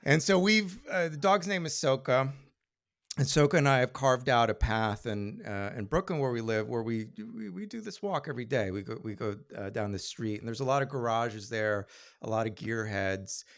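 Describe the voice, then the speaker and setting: Animated male storyteller on stage. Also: mid-range voice. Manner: conversational, halting, self-deprecating humour. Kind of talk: live personal storytelling on stage. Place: live storytelling venue.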